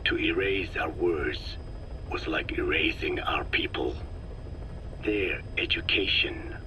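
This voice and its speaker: A man playing a very old, solemn Navajo scientist in a video game, speaking slowly and formally with a breathy, whispery voice full of regret. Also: very deep voice, poor audio. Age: older. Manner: slow, solemn, measured, formal. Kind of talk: dramatic game dialogue, reflective monologue.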